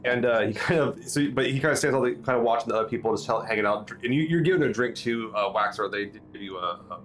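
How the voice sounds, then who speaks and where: A man's voice: mid-range voice, fast talker, fairly bright tone. A man narrating as game master in casual, filler-heavy talk. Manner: casual, hesitant, lots of fillers.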